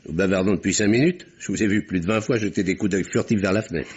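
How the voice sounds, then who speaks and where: An actor playing a shrewd, firm country doctor in a vintage radio drama, questioning insistently. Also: male voice, low-pitched, quick pace, darkish tone, slightly rough. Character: shrewd country doctor, middle-aged man, probing and firm. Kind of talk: 1950s French radio drama acting. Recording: dull old radio recording.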